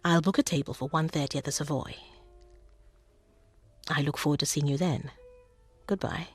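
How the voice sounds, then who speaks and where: Radio drama: self-possessed British woman, low-pitched and slightly husky, polite and sociable. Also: steady tone, moderate pace, soft background music. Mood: apologetic, gracious. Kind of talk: naturalistic radio drama acting.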